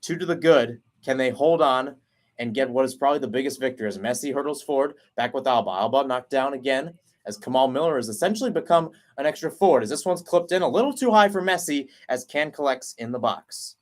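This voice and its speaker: A man at a commentary mic calls a soccer match move by move. His delivery runs quick and steady through pass after pass, then climbs in pitch and energy when a chance opens. His voice sits at a plain middle pitch for a man. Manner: rapid running call, rising on chances. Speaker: play-by-play commentator. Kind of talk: live soccer play-by-play.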